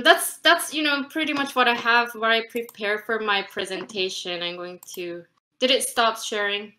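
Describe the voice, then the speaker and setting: A woman in her thirties from South Korea, teaching an online flute class in a chatty, animated way with frequent laughter, recorded over a webcam video call from a room at home. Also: medium pitch, a little hiss or hum.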